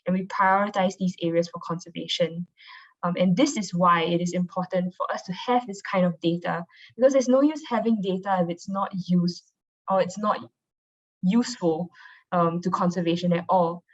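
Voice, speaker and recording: Low-pitched young woman, dull audio. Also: fairly even intonation, normal speed. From Singapore. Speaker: marine biologist presenting. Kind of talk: informal online presentation. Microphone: video call. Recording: dull, lo-fi online call audio.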